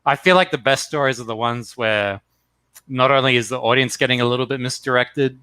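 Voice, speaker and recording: A man's voice: mid-range voice, fairly quick, sharp tone, smooth, no echo. A man chatting casually and crudely about his comic pages, laid-back and joking. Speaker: comic artist co-host. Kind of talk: casual chat.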